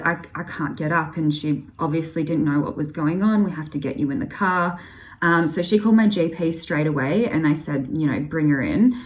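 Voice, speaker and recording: Young aussie girl vlogging about her health stuff, low voice, earnest, bit of um here and there. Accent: Australian. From Australia. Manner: candid, earnest, with fillers. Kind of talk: personal health story vlog.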